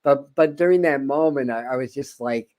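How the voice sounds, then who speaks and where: A man's voice: medium pitch, normal speed, darkish tone. A man hosting an interview channel, talking casually in a rambling way with many stammered repeats and chuckles. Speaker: host.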